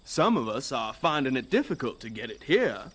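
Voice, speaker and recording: An actor playing a pompous, bookish young college student with stilted, precise diction in a radio drama. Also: male voice, medium pitch, big pitch swings, normal speed, a bit bright, slightly rough. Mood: indignant, lofty.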